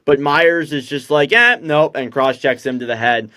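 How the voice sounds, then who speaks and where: A man's voice: fairly high pitch, normal speed, pushed and bright, no room sound, studio quality. A young man hosting a casual hockey podcast, chatty and loose with lots of fillers. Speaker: podcast host.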